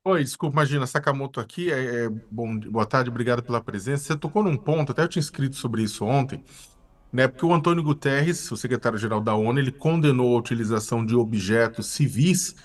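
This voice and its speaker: A man's voice: medium pitch, quick pace, far from the mic. A Brazilian man speaking conversationally as a commentator and interviewer in a live news discussion.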